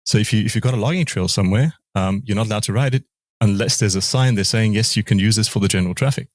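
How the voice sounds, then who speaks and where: A man in his forties speaks with a deep, low voice, stopping and restarting his sentences often. The sound is dry and close, with no room around it. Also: dry recording. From Austria, raised in South Africa. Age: 40s. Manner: halting, many restarts and repeated words. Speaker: guest, motorcycle rider. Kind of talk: casual interview conversation.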